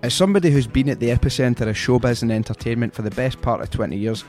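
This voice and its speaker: Scottish man hosting a podcast, mid-pitched voice, friendly cheeky banter, faint music behind. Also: quick pace, a little mellow. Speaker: podcast host. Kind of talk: scripted intro, then casual chat.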